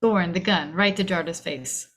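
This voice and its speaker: A woman's voice: on the low side, moderate pace, a bit bright. A woman reading stage directions as dramatic screenplay narration, in clipped, punchy phrases.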